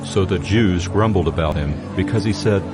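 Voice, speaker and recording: Low guy narrating a dramatized audio bible, scripted reading, music behind him. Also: moderate pace.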